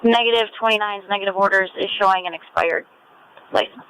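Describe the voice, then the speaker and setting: A man's voice: high voice, a little fast, bright, forceful tone, a little hiss or hum, poor audio. A man giving a procedural report over police two-way radio.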